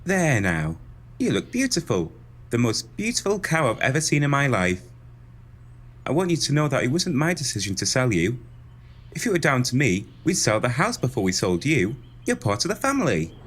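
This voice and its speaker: A naive young farm lad in a pantomime radio play. He is earnest and soft-hearted, with a mid-pitched voice that rises and falls animatedly. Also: huge pitch swings, moderate pace. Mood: tender. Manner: earnest, guileless, affectionate. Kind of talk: audio pantomime acting.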